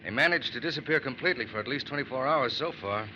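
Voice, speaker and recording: An actor playing a brisk, suspicious FBI agent in an old radio crime drama, asking terse questions. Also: male voice, medium pitch, pushed and bright. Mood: watchful. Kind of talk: 1940s-50s radio crime drama acting. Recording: muffled old radio recording with noise.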